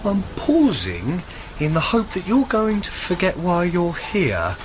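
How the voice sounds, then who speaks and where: A woman's voice: lowish voice, wildly swooping pitch, unhurried. A woman playing a goofy, evasive shop worker in a radio comedy drama. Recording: clear background ambience noise.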